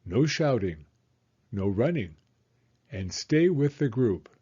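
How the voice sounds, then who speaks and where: A man voicing a kindly, orderly male owl schoolteacher with a measured, instructive manner in a storybook. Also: mid-range voice, big pitch swings, dark tone, husky, muffled recording, full character voice. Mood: firm, instructive.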